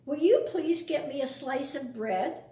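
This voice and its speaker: A woman's voice: sits high, lively intonation, a little slow, dark tone, roomy sound, distant mic. A woman voicing an insistent, patient mother rabbit in a read-aloud children's storybook.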